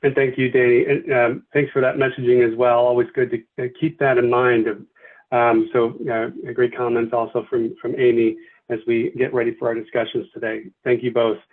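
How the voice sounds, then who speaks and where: A man's voice: mid-range voice, level tone, normal speed. A man facilitating a virtual committee meeting, thanking people and walking through the agenda with many fillers and restarts. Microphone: video call. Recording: tinny phone-like video call audio.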